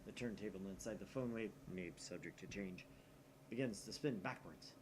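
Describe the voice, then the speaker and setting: A man's voice: lowish voice, normal speed, noisy background. A man hosting a gaming video, narrating a visual novel aloud.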